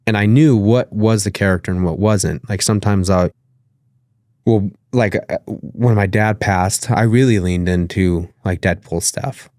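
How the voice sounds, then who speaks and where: A man's voice: lowish voice, a little slow, a little mellow, dry recording, studio quality. A man with a nasal voice, talking loosely as a podcast guest, with lots of fillers and casual swearing. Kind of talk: casual interview conversation.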